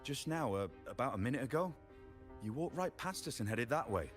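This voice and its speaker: A man's voice: medium pitch, animated, a little fast, soft background music, close to an everyday voice. An actor playing a casual, gossipy man in a fantasy video game, speaking in an offhand, conversational way.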